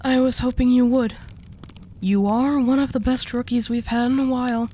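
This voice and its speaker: A woman's voice: on the high side, steady tone, moderate pace, mellow, natural voice. A woman acting as a dominant female mafia boss in an intimate audio roleplay, composed, teasing and coolly seductive. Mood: persuasive. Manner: teasing, condescending endearments, composed.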